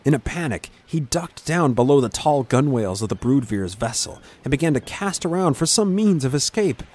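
A man's voice: medium pitch, big pitch swings, normal speed, a little mellow. A man reading a scripted fantasy adventure as a dramatic narrator. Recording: clear background ambient noise.

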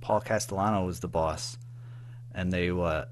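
A man's voice: deep voice, fairly even intonation, moderate pace, slight background noise. An American man giving a casual interview as a guest, speaking in an informal, hesitant, rambling way. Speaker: interview guest, audio drama writer and producer.

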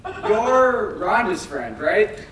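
A man's voice: sits high, sing-song, drawn-out words, a bit bright, full character voice. A young man playing an awkward, polite office worker in scripted stage comedy dialogue. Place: stage in a large theater. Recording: distant echoey stage, faint noise.

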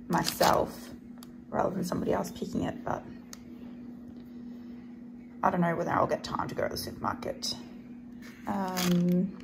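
A woman's voice: medium pitch, creaky, noisy background. A woman with an Australian accent chatting casually to camera as a vlogger, unscripted and often trailing off mid-thought. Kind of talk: casual grocery haul chat to camera.

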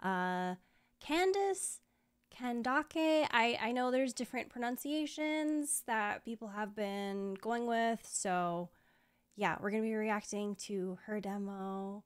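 A woman's voice: fairly high pitch, slow, clean tone. A young woman chatting casually to her viewers as a streamer, informal and effusive. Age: young adult. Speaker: streamer and video host. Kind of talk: casual reaction chat. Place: small home streaming room. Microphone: close desk mic, headset worn.

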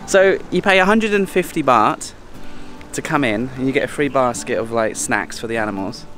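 A man, chatting casually to a handheld camera as he travels, talking to animals in a high playful voice now and then. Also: animated, normal speed, a bit bright, faint music behind. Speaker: travel vlogger. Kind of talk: casual travel vlog chat. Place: outdoors at a petting farm and a dam. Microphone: handheld camera at arm's length.

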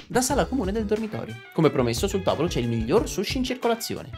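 Animated man streaming a game. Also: high-pitched, quick pace. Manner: theatrical, jokey, slips into character voices. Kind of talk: animated game playthrough, reading dialogue aloud. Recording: game music behind voice.

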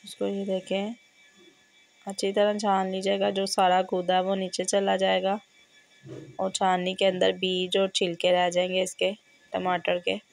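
Hoarse lady walking thru a recipe at home, gravelly and flat, dry close phone sound. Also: mid-range voice, measured pace, dry recording. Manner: steady step-by-step instructions, repeats measures. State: hoarse, has a fever. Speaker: cooking channel host. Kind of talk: home cooking tutorial. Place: home kitchen, indoors.

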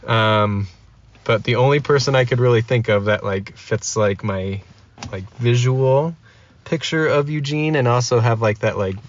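A man's voice: medium pitch, a little slow. A man chatting casually as a podcast guest. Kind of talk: casual chat. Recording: dull lo-fi sound, faint noise.

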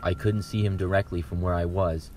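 Deep, flat-voiced man narrating horror. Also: a little fast, slight background noise. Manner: calm, steady first-person reading. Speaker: narrator reading submitted stories. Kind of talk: scripted horror story narration.

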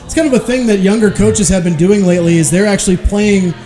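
A man in his thirties co-hosting a live sports podcast in a taproom, chatty and quick with banter. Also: sits high, over music. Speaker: podcast co-host. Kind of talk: casual sports talk show. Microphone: stand mic.

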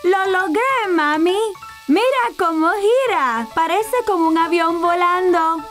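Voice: extremely high pitch, slow pace, fairly bright tone, soft background music, exaggerated voice. A performer voicing a curious, cheerful four-year-old boy in a children's cartoon, eager and wide-eyed. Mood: excited, curious.

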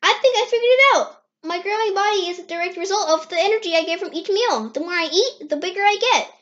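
A woman's voice: very high-pitched, quick pace, fairly bright tone, lo-fi sound. A woman voicing an eager, playful little boy fox in an amateur comic dub. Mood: excited.

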